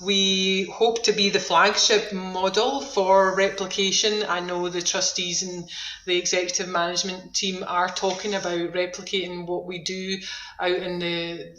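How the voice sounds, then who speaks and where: Scottish woman, bright forward voice, informal upbeat interview guest, echoey room. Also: on the low side, little pitch movement, a little slow, a little hiss or hum. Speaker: activity centre manager, interview guest. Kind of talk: conversational radio interview.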